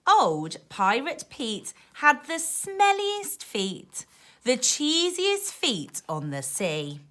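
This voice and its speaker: A woman teacher reading a rhyming picture book to young children in an expressive, sing-song way, with asides to her listeners. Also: high voice, very slow. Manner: animated, emphatic, sing-song rhyming delivery.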